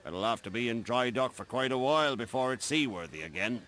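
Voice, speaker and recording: Man playing a forceful, blunt dockside shipwright. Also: medium pitch, lively intonation, a little fast, natural voice. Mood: blunt warning. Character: blunt, no-nonsense male port shipwright. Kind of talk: video game cutscene acting.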